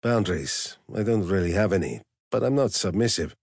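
A man voicing a suave, measured male lover with a polished English accent and dry humor. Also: sits low, expressive, darkish tone, slightly rough, no room sound, heavily acted. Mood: cool, teasing.

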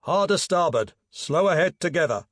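A man voicing a commanding naval officer in an audiobook, shouting clipped, urgent orders. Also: on the high side, lively intonation, normal speed, no echo, clean studio sound, voice pushed up.

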